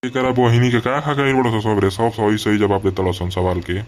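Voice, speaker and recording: A man's voice: on the low side, level tone, normal speed, close to an everyday voice. A man voicing an exasperated, coarse, teasing village schoolteacher with a Bhojpuri accent in a cartoon comedy. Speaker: voice actor.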